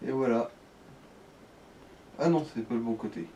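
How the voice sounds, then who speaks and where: A man's voice: mid-range voice, normal speed, warm tone, far from the mic. A man giving casual, slangy let's play commentary as he guides the player. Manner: colloquial, slangy. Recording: background noise behind the voice.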